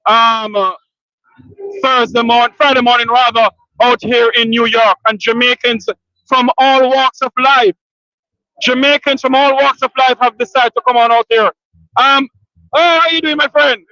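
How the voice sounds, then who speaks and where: A man's voice: extremely high pitch, steady tone, moderate pace, sharp tone, dry recording. A man hosting live street interviews at a protest outdoors, upbeat and repeating his questions, often thanking people. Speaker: street interviewer and show host. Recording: dull lo-fi outdoor recording.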